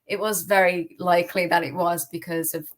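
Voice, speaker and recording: A woman's voice: on the low side, fairly even intonation, normal speed. British woman telling her story conversationally. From United Kingdom. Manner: candid, conversational, peppered with "like" and "kind of". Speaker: podcast guest giving personal testimony. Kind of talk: informal personal storytelling in an interview.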